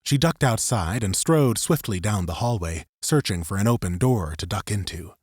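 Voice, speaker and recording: Low-voiced male audiobook narrator. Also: lively intonation, normal speed, darkish tone, husky, no echo, clean studio sound. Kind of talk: scripted third-person story narration.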